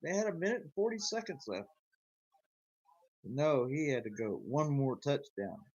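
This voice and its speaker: A man's voice: a little high, animated, moderate pace, a little mellow, no room sound, lo-fi sound. A man with a slight Arkansas twang, rambling and chuckling as he chats with viewers of his live stream. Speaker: YouTuber chatting with live viewers. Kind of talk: casual live-stream chat.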